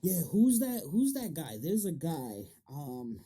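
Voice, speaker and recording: A man's voice: fairly high pitch, big pitch swings, takes it slowly, warm tone, husky. A man hosting a casual interview, talking in an emphatic, slangy way and swearing freely. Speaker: interview host. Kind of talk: casual, profanity-heavy podcast chat.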